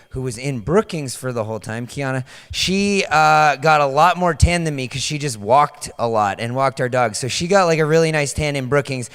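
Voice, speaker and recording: Young male pastor, casual sermon, slightly hoarse, smooth voice on a handheld mic in a reverberant hall. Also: mid-range voice, fairly bright tone. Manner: loose, chatty, many fillers and restarts. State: voice partly lost, slightly hoarse. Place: large dark stage in a church hall.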